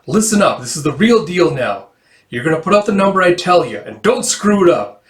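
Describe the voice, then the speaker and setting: A man voicing a sly, playful, excitable young woman who hustles dice games, in a brash, theatrical manga read-aloud. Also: sits high, fairly quick, pitched above the performer's own voice. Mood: bossy. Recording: roomy, echoey room.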